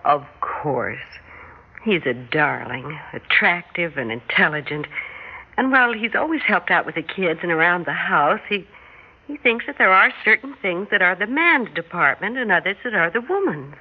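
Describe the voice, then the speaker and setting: An actress playing a middle-aged American wife and mother in an old radio drama, apologetic but quietly sure of herself, hesitant and earnest. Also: lowish voice, very animated, normal speed, husky, heavily acted. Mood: earnest, uneasy. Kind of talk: old-time radio drama acting, first-person narration. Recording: thin phone-like vintage radio sound, music behind.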